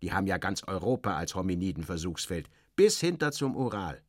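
A man's voice: low-pitched, very animated, fairly quick, no echo, studio quality. A man playing a fussy, obsequious station director, an officious bureaucrat who hesitates and corrects himself, in a satirical audio reading. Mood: pedantic explaining.

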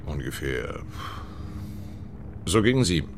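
An actor playing a cold, evasive military academy commandant, curt and condescending, in a radio crime drama. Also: male voice, rumbling low, sing-song, slow, vocal fry, slight background noise, exaggerated voice. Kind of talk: naturalistic German radio drama acting.

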